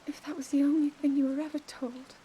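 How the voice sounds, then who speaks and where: An earnest, thoughtful young princess with a scholar's mind, voiced by a woman. Her voice is high yet mellow and measured. A faint hiss runs under the recording. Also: little pitch movement, natural voice. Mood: pensive. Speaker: game character. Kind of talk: game cutscene dialogue.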